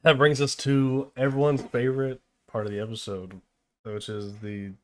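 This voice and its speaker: A man's voice: mid-range voice, little pitch movement, normal speed. A man hosting a casual album-review podcast, talking loosely with lots of fillers and playful asides, his voice worn from a long session. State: losing his voice. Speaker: podcast host. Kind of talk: casual music review chat.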